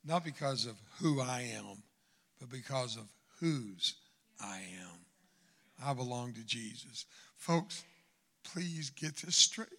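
Older man preaching, bright and dramatic. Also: mid-range voice, animated, slow. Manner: dramatic swings between loud exhortation and hushed asides. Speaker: guest preacher. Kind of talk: sermon. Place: church sanctuary.

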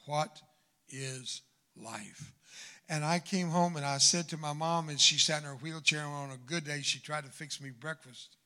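An older man preaching a sermon in church, swinging from loud exhortation to hushed asides. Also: fairly high pitch, normal speed. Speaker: guest preacher. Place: church sanctuary.

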